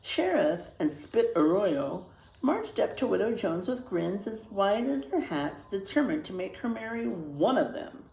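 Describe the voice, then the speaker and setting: A woman reading a children's story aloud in a dramatic, animated narrating style. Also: mid-range voice, unhurried, creaky. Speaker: storybook reader. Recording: roomy, echoing sound.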